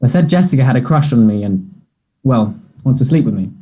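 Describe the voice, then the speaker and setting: Anxious young man, husky and soft-toned an actor reading. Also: medium pitch, expressive. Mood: uneasy. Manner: hesitant, halting delivery. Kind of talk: play table read.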